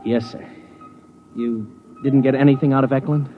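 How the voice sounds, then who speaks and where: An actor playing an earnest, green young ensign, deferential and a little hesitant, in a 1950s radio drama. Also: male voice, mid-range voice, fairly even intonation, normal speed, natural voice. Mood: deferential, defensive. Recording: muffled, noisy old radio recording.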